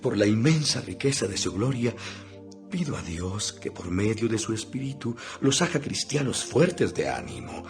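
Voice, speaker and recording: A man's voice: on the low side, big pitch swings, normal speed. A man playing an imprisoned apostle in a dramatized scripture reading, speaking solemnly and earnestly with emphasis. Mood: prayerful, earnest. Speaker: Bible narrator. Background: music.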